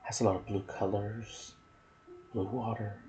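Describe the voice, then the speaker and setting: A man giving soft-spoken ASMR commentary on a video game, slipping into whispers and pausing between short phrases. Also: lowish voice, level tone, a little hiss or hum. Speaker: gaming channel host.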